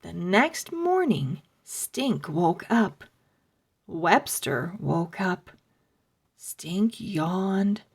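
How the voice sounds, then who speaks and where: Slow, expressive woman reading to kids. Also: on the low side, creaky, breathy edge. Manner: expressive, deliberate storytelling. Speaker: children's book reader. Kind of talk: read-aloud storytelling for kids.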